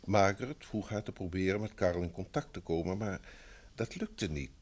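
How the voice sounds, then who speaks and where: A man's voice: deep voice, moderate pace, a little mellow. An actor in an old Dutch radio play, playing a polite, wealthy businessman, earnest and troubled, with a formal, courteous manner. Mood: grave, troubled.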